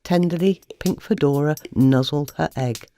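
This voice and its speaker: A woman narrating a scripted children's bedtime story in an expressive storytelling manner. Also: sits low, normal speed, a little hiss or hum.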